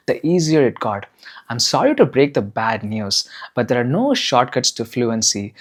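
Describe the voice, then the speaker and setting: Man with a husky voice. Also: medium pitch. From India. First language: Tamil. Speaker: English-learning channel host. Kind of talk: scripted talk to camera.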